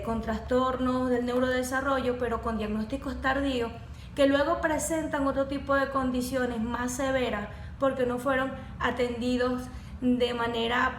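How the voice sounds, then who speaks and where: A young woman, a course facilitator explaining neurodevelopmental conditions in a professional, clinical way. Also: fairly high pitch. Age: young adult. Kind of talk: podcast conversation. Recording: echoey room with faint background noise.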